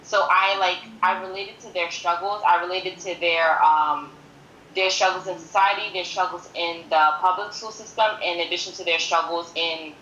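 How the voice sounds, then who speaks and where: A woman's voice: fairly low pitch, steady tone, normal speed, sharp tone, muffled recording. A woman in her twenties from Haiti who grew up in New York City, speaking earnestly as a podcast guest and repeating herself for emphasis. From born in Haiti, grew up in New York City from age five. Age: 20s. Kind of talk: conversational interview, personal account. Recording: roomy echo with faint background noise.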